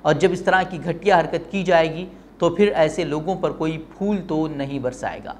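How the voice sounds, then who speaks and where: A male news presenter with a slightly high-pitched voice delivers a bulletin mixed with pointed commentary. He is emphatic and leans on rhetorical phrasing. There is some noise behind his voice. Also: normal speed.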